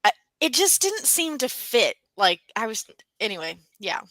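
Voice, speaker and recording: Bright-voiced woman chatting about books. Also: on the high side, very animated, normal speed, dry recording. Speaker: book discussion co-host. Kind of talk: casual book chat.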